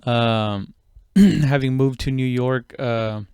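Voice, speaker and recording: A man talking in a casual, rambling podcast monologue, halting and conversational, with frequent swearing. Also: medium pitch, darkish tone, clean tone, dry recording, clean studio sound. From immigrant, moved abroad at seven. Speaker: stand-up comedian podcast host.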